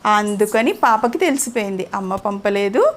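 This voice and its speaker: A woman's voice: medium pitch, expressive, normal speed. A woman telling a moral story conversationally to a child, often stopping to ask questions. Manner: interactive, asks the child questions.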